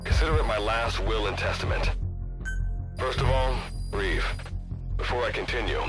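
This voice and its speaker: An actor playing an ageing, remorseful scientist in a video game, giving a measured, confessional farewell monologue. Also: male voice, lowish voice, music in the background, natural voice. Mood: solemn, urgent. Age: older. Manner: measured, confessional, halting when moved. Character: ageing male scientist, remorseful, leaving a final message. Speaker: scientist recording a farewell message.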